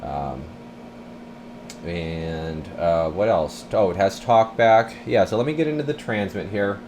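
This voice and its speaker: Male technician, deep voice, informal rambling demo style, noisy workshop sound. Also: a little slow, a little mellow. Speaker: radio repair technician presenting his bench work. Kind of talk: casual hands-on demonstration vlog. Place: indoor radio repair workbench.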